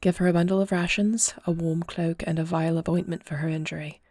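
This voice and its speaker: A woman playing an earnest young princess and war leader in an audio roleplay, speaking formally in solemn, measured phrases. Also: on the low side, steady tone, darkish tone, dry recording, close to an everyday voice. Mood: calm, resigned. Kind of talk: scripted audio roleplay acting.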